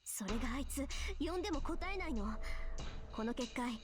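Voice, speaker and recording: An actress playing a sharp, proud teenage schoolgirl mage in an anime visual novel. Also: high voice, animated, fast talker, noisy background. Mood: tense, frustrated. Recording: game dialogue over background music.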